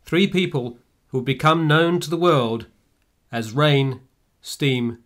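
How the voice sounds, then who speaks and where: A man's voice: mid-range voice, slow, a bit bright. A man reading scripted dramatic narration for a radio play. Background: music.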